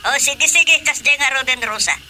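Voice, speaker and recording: A woman's voice: high voice, normal speed, bright, forceful tone, faint music behind. A woman with an Ilocano accent playing a talkative, fussy mother in a radio drama comedy. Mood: fussing.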